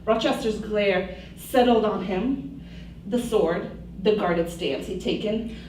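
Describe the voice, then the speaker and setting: A woman's voice: medium pitch, slow pace, a little mellow, slight background noise. A woman co-hosting a live show, narrating a dramatic book reading in an amused, giggly way. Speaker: co-host. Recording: echoey room, distant mic.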